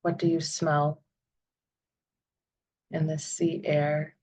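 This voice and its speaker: A woman leading a guided visualization meditation, speaking in soothing, deliberate phrases with long pauses. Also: sits low, level tone, a little mellow, breathy edge.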